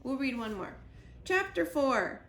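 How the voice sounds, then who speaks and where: A woman librarian reading a children's chapter book aloud, lively, jumping up in pitch for the characters. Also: a little slow, roomy sound, slight background noise. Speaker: school librarian. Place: school library.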